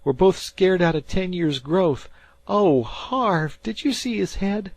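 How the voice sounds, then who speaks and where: A man's voice: sits high, lively intonation, slow pace, a little mellow, no room sound, pitched above the performer's own voice, exaggerated voice. A man voicing a frightened teenage fisherman boy who talks in rustic old-time dialect. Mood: spooked.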